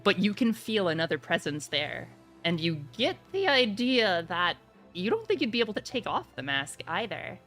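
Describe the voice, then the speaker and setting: A woman's voice: medium pitch, sing-song, normal speed, fairly bright tone, soft background music. A woman running a tabletop roleplaying game, narrating and giving rulings in casual talk. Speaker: game master.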